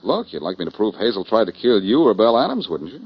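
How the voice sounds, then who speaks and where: An actor playing a breezy, confident amateur detective in 1940s radio style, wry and probing. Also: male voice, fairly low pitch, big pitch swings, fast, breathy edge, full character voice. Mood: challenging. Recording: muffled lo-fi 1940s radio broadcast.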